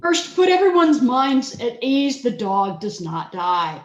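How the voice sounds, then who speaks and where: High-voiced woman in a dull, roomy recording. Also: sing-song, takes it slowly. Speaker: reader of a comic show prologue. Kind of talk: scripted comic announcement. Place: video call. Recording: dull, roomy video-call audio.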